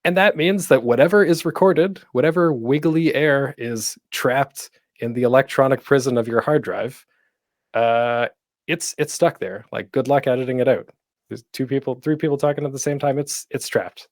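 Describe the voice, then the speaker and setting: A man hosting an informal online tutorial, talking in a chatty, playful way with deliberate pauses for emphasis. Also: medium pitch, dry recording, studio quality. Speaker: panel host and podcasting instructor.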